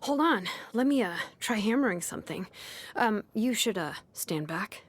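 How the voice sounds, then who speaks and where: A woman voicing a laid-back, sleepy young female blacksmith and name engraver from a video game, languid and nonchalant with soft hesitations. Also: mid-range voice, animated, normal speed, dry recording, lightly acted. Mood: friendly, helpful. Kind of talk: video game character voice lines. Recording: music behind the voice. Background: music.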